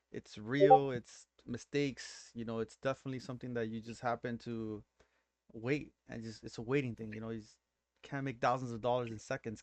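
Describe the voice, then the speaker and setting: A man from Nicaragua with a Miami accent, hosting a trading stream in relaxed, rambling casual chat. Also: mid-range voice, no room sound. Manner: relaxed, rambling, mixes in slang.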